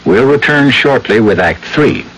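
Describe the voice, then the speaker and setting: A man hosting a radio mystery show with dramatic scripted narration, ominous and deliberate. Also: mid-range voice, expressive, rough recording. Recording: old radio broadcast, loud music behind.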